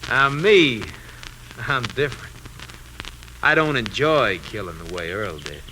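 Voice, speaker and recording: A man's voice: mid-range voice, lively intonation, measured pace, sharp tone, a little hiss or hum, rough recording. An actor playing a cruel, taunting cornered outlaw gunman in a 1950s radio Western, with an American accent and folksy cowboy grammar. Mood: self-justifying. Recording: old radio broadcast, faint music.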